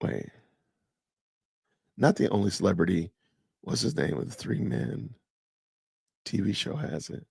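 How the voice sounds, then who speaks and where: Fifties male streamer, low gravelly voice, dry close sound, easygoing chat host reading comments aloud. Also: very animated, unhurried, long pauses, a little mellow, dry recording. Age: 50s. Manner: chatty, reads and answers live chat aloud. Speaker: podcast host. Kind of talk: casual live stream chat with viewers.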